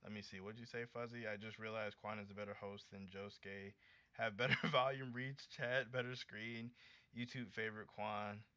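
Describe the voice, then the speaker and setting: Bright, forceful young American man livestreaming. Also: on the low side, moderate pace, clear, resonant tone, no echo. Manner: slangy, animated, conversational. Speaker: livestream host. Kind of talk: casual livestream chat with viewers. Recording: dull lo-fi streaming audio.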